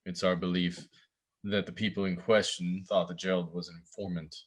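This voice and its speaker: Police investigator, male actor, low register, measured and official, careful with what he reveals. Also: little pitch movement, slightly rough. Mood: guarded, serious. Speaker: actor at a table read. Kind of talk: scripted drama table read.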